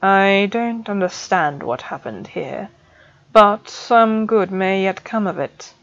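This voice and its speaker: A woman reader voicing a stern male potions professor who speaks slowly and with clipped control, on a dull lo-fi recording. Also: mid-range voice, lightly acted. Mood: measured. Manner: slow, measured and clipped.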